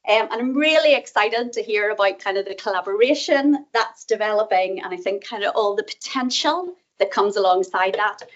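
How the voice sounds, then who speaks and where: A middle-aged woman with a Northern Irish accent giving a conference talk, quick, chatty and self-deprecating. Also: medium pitch, dull audio. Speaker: guest speaker, professor. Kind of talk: conference presentation. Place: conference room.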